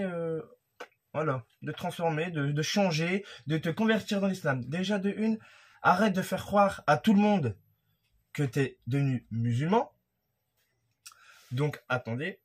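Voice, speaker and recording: A young man from France delivers a heated, accusing rant straight into the camera. His voice sits high for a man and comes out husky. He speaks from a room at home. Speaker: YouTuber commentator. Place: room at home with a creaky wooden floor.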